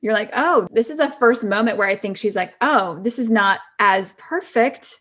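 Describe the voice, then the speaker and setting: Female podcast guest, medium pitch, loose informal chat with a halting, thinking-aloud flow. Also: a bit bright. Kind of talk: casual chat.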